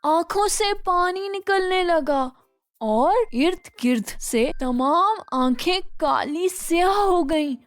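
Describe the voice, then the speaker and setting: High-voiced, unhurried woman storyteller. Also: animated, no echo, soft background music. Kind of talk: scripted narration of children's bedtime story.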